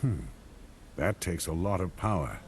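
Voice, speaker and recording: A man playing a gruff, stern warrior commander in a video game, speaking in curt, commanding phrases. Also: low voice, expressive, a little slow, background noise. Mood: pensive. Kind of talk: dramatic video game acting. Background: music.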